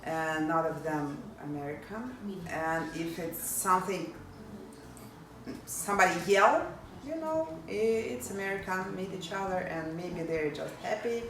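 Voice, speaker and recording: A woman from Ukraine, answering interview questions in halting English as she searches for words. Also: fairly low pitch, far from the mic, background noise. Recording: echoey room, off-mic.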